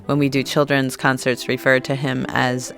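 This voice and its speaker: Soft-spoken woman violinist explaining. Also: very deep voice, flat delivery, normal speed, vocal fry, music in the background. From Pennsylvania. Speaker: first violinist guest. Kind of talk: relaxed interview answers. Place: radio studio.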